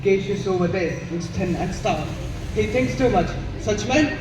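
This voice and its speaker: A man's voice: sits high, lively intonation, a little slow, noisy background. A teenage boy with an Indian accent acting a proud, wary Roman ruler in a school stage play. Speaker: student actor in a school play. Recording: echoey stage from far off, music behind.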